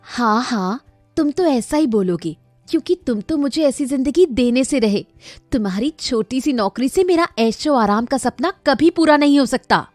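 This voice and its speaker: A woman performs a young housewife hungry for luxury in a moral storybook tale. The voice is high and swooping, with a petulant, dramatic manner, over faint music. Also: expressive, normal speed, a little mellow, dry recording. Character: whiny, vain young housewife craving luxury.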